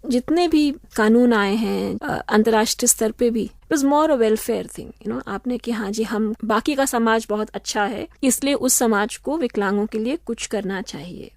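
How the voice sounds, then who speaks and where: A woman law professor answering interview questions in a measured, explanatory way, slipping in English phrases. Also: on the high side, gravelly, a little mellow, dry recording, studio quality. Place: radio studio.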